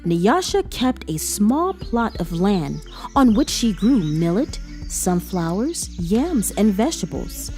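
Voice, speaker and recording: A woman hosting storytime for children, reading and commenting in an expressive, emphatic, animated way. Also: medium pitch, takes it slowly, soft background music.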